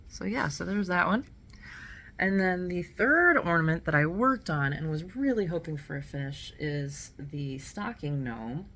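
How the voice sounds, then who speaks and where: Crafter woman, thirties; low, gravelly, cold-nasal voice; rambling home vlog with faint room hum. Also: fairly bright tone. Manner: rambling and self-correcting, with light laughs. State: nasal, getting over a cold. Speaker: cross stitch vlogger. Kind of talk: casual chat to camera. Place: small indoor room at home.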